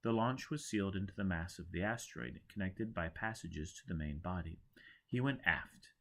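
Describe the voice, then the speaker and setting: Low-voiced man reading a story. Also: lively intonation, moderate pace, creaky. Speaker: audiobook reader. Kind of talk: scripted dramatic story narration.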